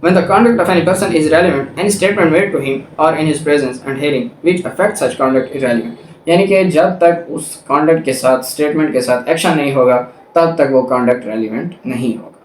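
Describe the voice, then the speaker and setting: Male law lecturer, Pakistani accent, fairly high voice, methodical explainer, roomy echoing sound. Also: a little slow, a little hiss or hum. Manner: switches between Urdu and English legal terms; methodical, repeats and rephrases points. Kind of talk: explanatory lecture with clauses read aloud. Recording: echoey room sound.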